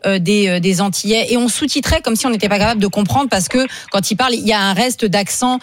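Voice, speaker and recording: Female radio panellist, medium pitch, opinionated and conversational, dry acoustic. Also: quick pace, dry recording.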